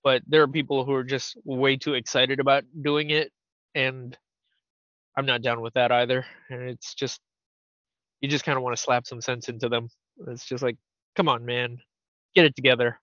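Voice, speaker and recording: A man's voice: medium pitch, level tone, moderate pace, fairly bright tone, no echo, muffled recording. A man co-hosting a casual podcast, rambling and teasing his co-host, often breaking into laughter.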